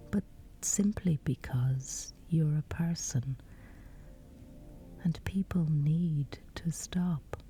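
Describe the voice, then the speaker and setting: A woman guiding a sleep meditation in a breathy, whispery voice, soothing and measured with long pauses. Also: low-pitched, warm tone, a little hiss or hum. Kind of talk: guided sleep meditation, scripted.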